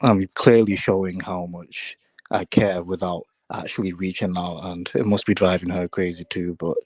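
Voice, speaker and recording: A man's voice: low-pitched, fairly even intonation, normal speed, a little mellow, breathy edge, dry recording. A male caller talking casually and laughing nervously.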